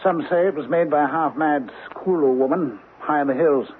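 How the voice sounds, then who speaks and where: Actor as grave colonial gentleman, noisy thin radio. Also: a little high, moderate pace, natural voice. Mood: grave, ominous. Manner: urgent, portentous, insistent, formal phrasing. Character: grave, fearful British colonial gentleman warning his friend. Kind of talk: old-time radio drama acting. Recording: noisy, phone-like old radio recording.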